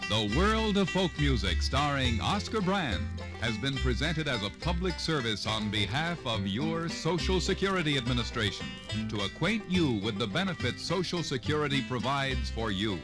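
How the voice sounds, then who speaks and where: A man's voice: medium pitch, sing-song, normal speed. A man reading a scripted announcement as an old-time radio announcer. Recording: old radio recording, music behind.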